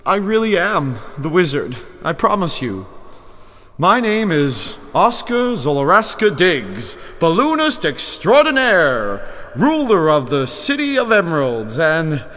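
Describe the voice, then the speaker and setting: Flustered, stammering old humbug wizard. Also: male voice, on the high side, very animated, a little slow, fairly bright tone. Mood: frightened pleading. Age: older. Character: timid old humbug wizard, former circus balloonist from America. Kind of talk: full-cast fantasy audio drama acting. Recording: long reverb, music behind.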